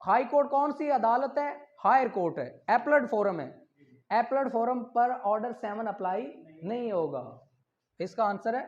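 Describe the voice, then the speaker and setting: A man with a Pakistani accent teaching an online law class, reading English questions slowly, explaining them in Urdu and calling on students by name. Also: extremely high pitch, lo-fi sound. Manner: calls on students by name, brisk and encouraging.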